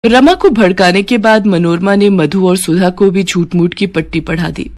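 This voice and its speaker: A woman's voice: medium pitch, moderate pace, a little mellow. A woman storyteller reading scripted narration of a family moral story.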